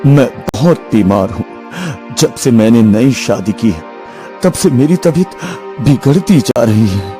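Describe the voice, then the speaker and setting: Casting: male actor for ailing cartoon king, easily alarmed, dramatic and unhurried, very lively intonation. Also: mid-range voice, over music, close to an everyday voice. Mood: troubled. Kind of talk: Hindi fairy tale cartoon dubbing.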